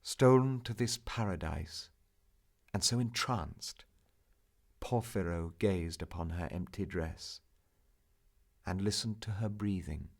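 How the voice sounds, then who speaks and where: Slow, low-voiced man reciting romantic verse. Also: creaky, a little mellow. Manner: solemn, theatrical, measured delivery. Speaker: narrator reciting poetry. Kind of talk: dramatic recitation of a romantic narrative poem.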